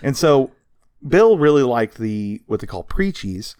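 A man's voice: medium pitch, big pitch swings, unhurried, a little mellow, clean tone, no echo. Casual man co-hosting, close dry sound. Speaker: podcast co-host. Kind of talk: casual conversational explaining of comics history.

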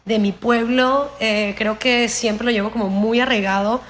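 A woman's voice: fairly high pitch, steady tone, a little slow, fairly bright tone, slight background noise. Chatty Venezuelan woman, Mexican-tinged accent. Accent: Venezuelan Spanish with a Mexican touch. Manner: chatty and colloquial, upbeat. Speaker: podcast guest. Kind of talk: casual interview chat.

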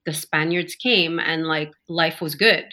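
A woman's voice: deep voice, a little slow, sharp tone. A woman from Montreal, a podcast guest, speaking reflectively in a casual interview, with frequent ums and self-corrections. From Montreal, Canada. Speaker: podcast guest, human design guide.

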